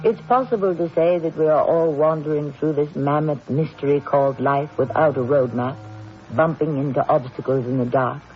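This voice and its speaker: A man hosting a radio mystery, delivering scripted old-time narration in a measured, theatrical, philosophical manner. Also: a little high, steady tone. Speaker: host, narrator. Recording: dull, hissy old radio recording.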